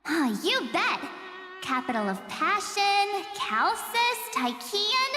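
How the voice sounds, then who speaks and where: A bubbly young game heroine with a very high, swooping voice. She is boastful, playful and sentimental, drawing her words out in a big echoing space over music. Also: slow pace, full character voice. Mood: excited, boastful. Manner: playful, expressive, dramatic. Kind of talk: English video game voice acting. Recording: echoey reverberant hall with music. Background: music with strings.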